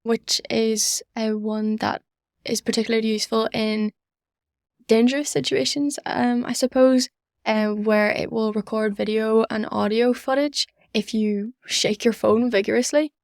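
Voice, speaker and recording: A woman's voice: a little high, fairly even intonation, measured pace, creaky, darkish tone, no echo, studio quality. A young woman with a Northern Irish accent giving earnest, enthusiastic answers as an interview guest. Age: young adult. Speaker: student society member, podcast guest.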